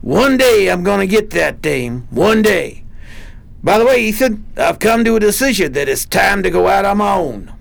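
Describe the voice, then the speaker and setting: A man playing a vengeful, slangy 1920s private detective in a radio play, with a high, creaky voice. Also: sing-song, moderate pace, full character voice. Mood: matter-of-fact.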